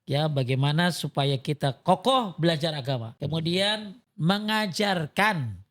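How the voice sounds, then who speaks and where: A man's voice: on the high side, animated, a little slow, fairly bright tone. A man teaching Islam informally, asking questions and answering them himself with emphatic repetition. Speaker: religious teacher giving advice as a guest.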